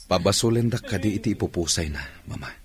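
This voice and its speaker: A man's voice: low-pitched, lively intonation, fast talker. A man acting a sober, newly widowed husband in a Filipino radio drama, with a Filipino accent. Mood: bitter, resigned.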